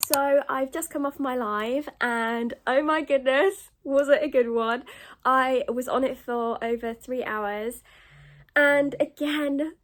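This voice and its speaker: A woman's voice: high-pitched, takes it slowly. A British woman chatting casually in a rambling book vlog, groggy and sniffly with a cold. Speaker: book vlogger.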